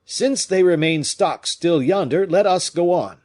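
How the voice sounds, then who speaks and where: A man's voice: sits high, a little slow, a little mellow, voice pushed up. A man doing an imperious, agitated nobleman. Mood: commanding. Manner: imperious, exclamatory. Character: agitated proud male French nobleman.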